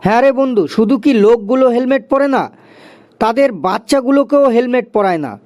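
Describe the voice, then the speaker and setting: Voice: mid-range voice, quick pace, darkish tone, soft background music, natural voice. A performer voicing a chatty, agreeable schoolboy in a cartoon, with a conversational, explaining manner. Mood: concerned, agreeing. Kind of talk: cartoon dialogue.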